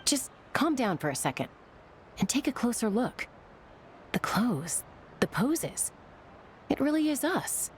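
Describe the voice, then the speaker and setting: A woman's voice: fairly high pitch, big pitch swings, measured pace, long pauses, a little hiss or hum. A woman voicing a level-headed, observant young female adventurer in an anime-style video game, composed and direct. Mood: calm focus.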